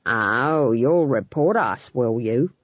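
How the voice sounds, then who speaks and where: Woman doing a gruff, surly guard. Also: mid-range voice, lively intonation, dry recording, lo-fi sound, voice pushed down. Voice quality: gruff, hurried. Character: nervous, surly male guard.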